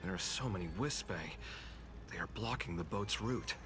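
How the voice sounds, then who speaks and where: An actor playing a courteous, earnest male guide in an anime game, formal and expressive, easily flustered. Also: low-pitched, moderate pace, lightly acted. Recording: game music behind the voice.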